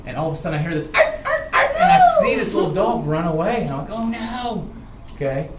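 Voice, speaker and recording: An American man tells informal personal stories in an animated, dramatic way, often checking in with his listeners. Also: high voice, quick pace. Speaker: storyteller, show host. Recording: roomy, distant, clearly noisy recording.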